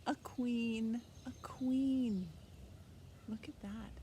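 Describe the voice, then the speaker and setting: A woman's voice: fairly high pitch, drawn-out words. A woman hosting storytime for young children, teaching in a sing-song way with simple questions and repeated key words. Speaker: children's library storytime host. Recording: clear steady background noise.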